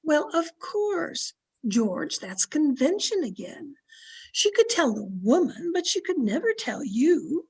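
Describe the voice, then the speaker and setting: A woman playing a sly, flattering old aunt in an old-time radio comedy, coy and sweetly confiding. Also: high voice, takes it slowly, vocal fry, heavily acted, poor audio. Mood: encouraging. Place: home, online video-call production.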